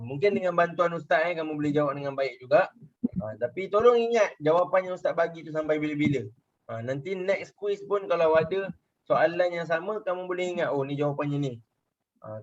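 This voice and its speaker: A man's voice: a little high, lively intonation, brisk. A young adult man with a Malaysian Malay accent teaching children in an online religious class, patiently repeating questions and checking whether they understand. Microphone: video call. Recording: muffled lo-fi video call audio.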